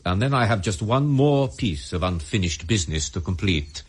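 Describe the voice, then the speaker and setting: Low-voiced, menacing Soviet major. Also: male voice, expressive, moderate pace, slightly rough, no room sound. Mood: formal, restrained. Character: cool, menacing Soviet KGB major, hunter of men. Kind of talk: radio drama acting.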